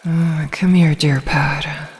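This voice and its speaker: Woman acting a snake-woman lamia, low-pitched, protective, coaxing, fantasy ASMR, long echoing reverb. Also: fairly even intonation, a little mellow. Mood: tender, soothing. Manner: slow, coaxing, soothing. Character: tender, protective snake woman lamia, playfully menacing to prey. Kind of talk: ASMR roleplay, scripted fantasy audio drama. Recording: long reverberant hall-like echo.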